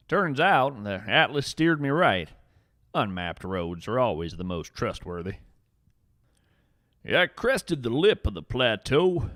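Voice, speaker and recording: A man playing a whimsical, earnest veteran naturalist adventurer, narrating a scripted fiction podcast with theatrical, deliberate phrasing. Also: mid-range voice, fairly bright tone, no echo, clean studio sound.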